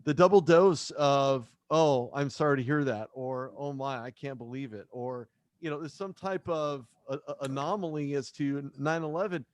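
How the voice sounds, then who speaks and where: A man's voice: medium pitch, normal speed, dry recording. A man hosting an interview show, asking questions in a conversational way. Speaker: host. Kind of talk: casual interview talk.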